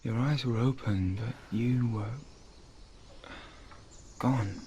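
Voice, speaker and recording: A man playing a gentle, caring young warrior companion, soft and reassuring, in a video game drama. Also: mid-range voice, slow, slight background noise, lightly acted. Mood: tender.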